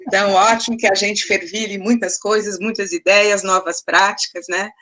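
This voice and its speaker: Woman, mid pitch, friendly, seminar host, video call. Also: fairly even intonation, moderate pace, sharp tone. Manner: friendly, tag questions. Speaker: seminar mediator. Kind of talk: closing remarks on a video call.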